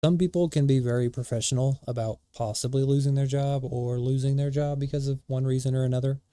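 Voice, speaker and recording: Blunt profane man ranting, mellow dry voice. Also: mid-range voice, fairly even intonation, quick pace, smooth, dry recording. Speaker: streamer reacting to a video. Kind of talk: casual reaction commentary.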